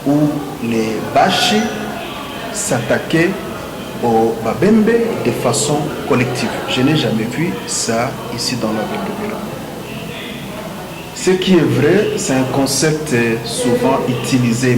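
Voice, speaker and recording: A man's voice: mid-range voice, animated, slow pace, a loud crowd. A man with a Congolese French accent giving an argumentative debate speech, emphatic and rhetorical, repeating phrases for emphasis. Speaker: debate speaker. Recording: echoey room with background noise.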